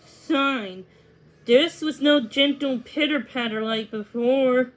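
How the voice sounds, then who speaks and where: A woman reading a children's storybook aloud in an expressive narrating style. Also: sits high, slow pace, rough recording. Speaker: storybook reader.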